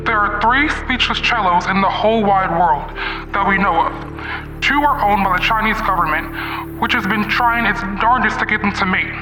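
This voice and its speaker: A man acting a shady, domineering, fast-talking instrument dealer in an old-time radio mystery, boastful and slangy. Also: high voice, lightly acted. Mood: boastful, wary. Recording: roomy, distant, music underneath.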